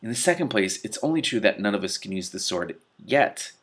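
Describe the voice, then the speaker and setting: A man's voice: lowish voice, animated, brisk, a bit bright, breathy edge. A man voicing a sensible woman in a fantasy story, level-headed and methodical. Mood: reasoning.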